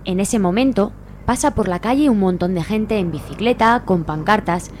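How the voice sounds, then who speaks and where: A woman's voice: medium pitch, normal speed, darkish tone. A woman from reading scripted narration for a radio serial. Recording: faint hum and noise.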